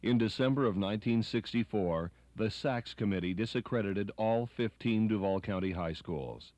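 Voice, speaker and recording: A man with a fairly low voice delivers scripted documentary narration. The recording is dry and close, with hardly any room sound around his words. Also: moderate pace, a little mellow, no echo.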